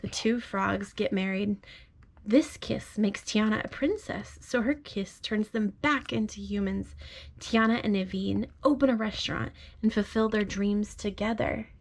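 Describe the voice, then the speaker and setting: A woman speaking gently, as a parent does to a small child at bedtime. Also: mid-range voice, unhurried. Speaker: parent reading aloud. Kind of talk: bedtime storybook read-aloud. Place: bedroom at home.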